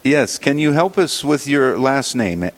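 A man hosting a religious radio show, leading prayer and introducing guests in a halting way with many fillers. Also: medium pitch, big pitch swings.

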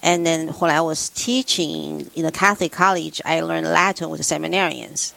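Brief: woman guest, Mandarin native speaker, low gravelly voice, earnest and halting. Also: steady tone, fairly bright tone, no room sound, poor audio. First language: Mandarin Chinese. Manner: plain, earnest, many pauses. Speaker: guest in pastoral ministry. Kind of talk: radio interview answers.

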